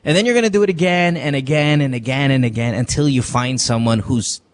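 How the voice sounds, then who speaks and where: A man's voice: mid-range voice, fairly quick. A man hosting a call-in advice show, joking and frank, who drops Tagalog words into his talk. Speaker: radio advice show host. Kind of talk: casual call-in advice chat.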